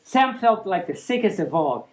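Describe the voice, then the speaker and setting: A woman's voice: mid-range voice, very animated, normal speed, rough recording. A woman reading a picture book aloud informally, playful and rambling, with easily sidetracked asides.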